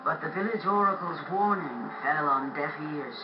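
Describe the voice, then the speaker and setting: A man giving dramatic scripted narration for an epic game story in a grave, theatrical, measured way. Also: fairly high pitch, lively intonation, background noise. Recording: dull lo-fi game audio, echoey, loud music. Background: loud music.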